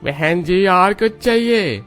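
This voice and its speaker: Animated high-ish male vegetable seller acted out. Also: takes it slowly, soft background music, exaggerated voice. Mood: salesmanlike. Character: friendly male vegetable seller, grudgingly giving in. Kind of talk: cartoon drama acting.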